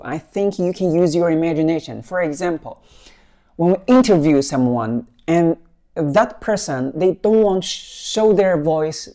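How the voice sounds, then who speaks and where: Mellow-voiced man presenting a gadget. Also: fairly high pitch. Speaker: vlogger reviewing a microphone. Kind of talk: casual product demo to viewers.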